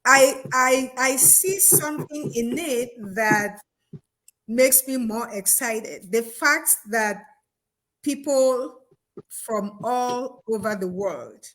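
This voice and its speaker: Slow-talking woman host. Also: a little high, fairly bright tone, poor audio. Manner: halting, friendly, many fillers. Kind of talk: interview over video call.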